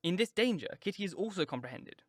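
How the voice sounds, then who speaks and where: A man's voice: high voice, lively intonation, quick pace, dry recording, clean studio sound, pitched above the performer's own voice. A man reading an audiobook, voicing a sensible young woman pleading earnestly and firmly.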